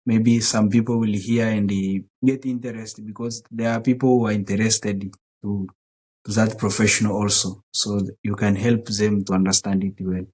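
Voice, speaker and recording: Halting man, Swahili his first language. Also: fairly low pitch, flat delivery, darkish tone, low-quality recording. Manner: halting, with restarts and polite phrasing. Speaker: podcast co-host. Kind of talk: casual podcast talk.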